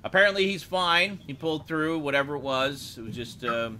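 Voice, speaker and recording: Man in animated, loose group chat. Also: a little high, brisk. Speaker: host of a weekly geek chat show. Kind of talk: casual live group chat.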